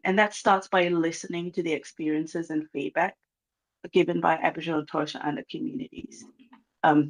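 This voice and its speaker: Malaysian accent, formal launch speech, earnest and measured, video call audio, slight vocal fry. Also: mid-range voice, rough recording. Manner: earnest, measured, many um pauses. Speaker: guest speaker from a reconciliation organization. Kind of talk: formal address at a launch event.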